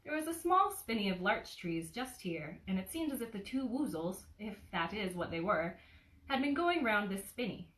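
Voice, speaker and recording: Animated woman storyteller in a roomy space. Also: medium pitch, normal speed, recorded from a distance. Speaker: bookseller reading a bedtime story. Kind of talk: casual introduction and storybook narration. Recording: roomy, a little off the mic.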